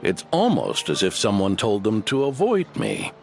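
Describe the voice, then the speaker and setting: An actor playing a shrewd, teasing older knightly mentor in a video game, with wry, probing, folksy speech. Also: male voice, lowish voice, huge pitch swings, normal speed, creaky, exaggerated voice. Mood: probing suspicion. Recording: music under the voice.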